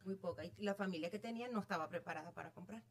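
A woman's voice: fairly low pitch, rapid-fire. Venezuelan woman telling her story. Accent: Venezuelan Spanish. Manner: storytelling, animated, self-amused. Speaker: real estate agent guest. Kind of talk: casual interview chat.

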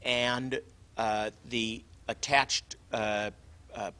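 A man's voice: mid-range voice, flat delivery, very slow, sharp tone, smooth. A man hosting a conversation, talking earnestly with frequent hesitations and restarts. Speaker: host. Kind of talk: conversational interview.

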